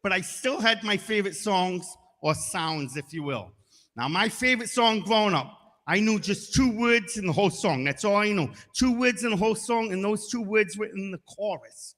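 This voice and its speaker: A middle-aged man doing a stand-up comedy set with punchy delivery and deadpan asides, now and then breaking into loud shouted singing. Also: high voice, normal speed, in a large hall. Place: comedy stage.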